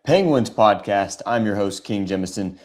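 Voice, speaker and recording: Raspy, hoarse man hosting a sports podcast. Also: on the low side, animated, normal speed. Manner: conversational, many filler ums. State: hoarse from shouting. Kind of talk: casual sports analysis.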